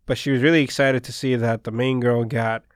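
A man whose first language is Spanish, co-hosting a casual anime podcast and recapping plots in a relaxed, rambling way. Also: medium pitch, brisk, clean tone, no room sound, clean studio sound. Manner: laid-back, rambling plot recaps. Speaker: podcast co-host.

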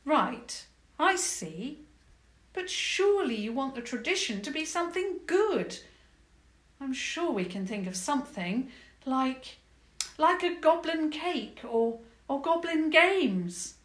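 A woman's voice: high voice, very animated, slow, voice pushed up, exaggerated voice. A woman voicing a polite, brave young princess who speaks earnestly and formally. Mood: hopeful. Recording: echoey room.

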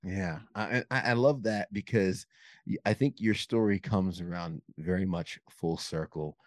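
A man's voice: low voice, measured pace, no echo, studio quality. Earnest man hosting a podcast. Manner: earnest, upbeat, with restarts. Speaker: podcast host. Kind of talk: podcast interview.

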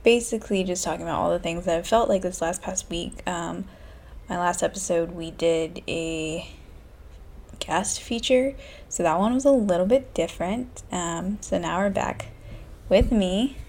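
Woman in her twenties, podcast host, quiet profane chat, gravelly fry, lowish voice. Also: moderate pace. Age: 20s. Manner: candid, profane, speaks low and hushed. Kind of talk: casual solo chat. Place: small house room. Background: faint classical music.

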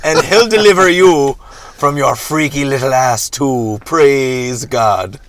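A man playing a greedy, lecherous movie reverend who preaches indignantly in drawn-out phrases. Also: mid-range voice, creaky, dry recording. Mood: indignant preaching.

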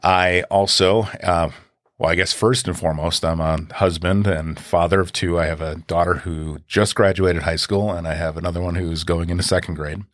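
Deep-voiced man, relaxed storyteller. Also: normal speed, dry recording, studio quality. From Wisconsin. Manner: relaxed storyteller, many fillers and restarts. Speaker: podcast guest. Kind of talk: casual conversational interview.

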